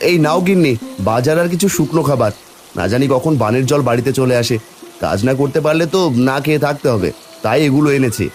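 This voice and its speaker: A humble village woodcutter who talks in a lively, anxious way, voiced by a man. His voice moves up and down a lot, with faint cartoon music behind. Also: medium pitch, fast talker, close to an everyday voice. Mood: caring.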